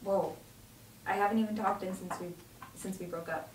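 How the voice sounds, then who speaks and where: A woman's voice: mid-range voice, fairly even intonation, fairly quick, lightly acted. A young woman playing a wistful teenage girl at a drama table read. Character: Maya, wistful teenage girl before prom. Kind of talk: table-read acting, naturalistic dialogue. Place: home dining room, around a table. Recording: roomy, distant camera mic, loud noise.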